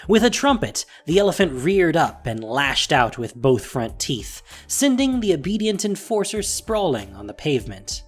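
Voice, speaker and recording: Male narrator, audio drama, scripted and dramatic, very varied pitch, soft music underneath. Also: big pitch swings, normal speed, few pauses. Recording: faint music behind voice. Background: faint music.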